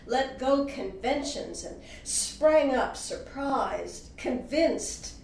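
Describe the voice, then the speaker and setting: A woman playing a reflective poet looking back on her youth, narrating in a measured, solemn, lyrical way. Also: on the high side, darkish tone, a little hiss or hum. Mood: exalted. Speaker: audiobook performer. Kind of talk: dramatic poetic audiobook narration. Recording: roomy, distant microphone, music behind. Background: music.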